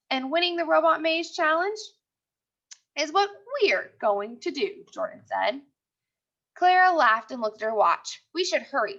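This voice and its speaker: Female teacher, bright high voice, instructional read-aloud delivery with repeated phrasing, somewhat dull online-class sound. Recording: dull lo-fi audio.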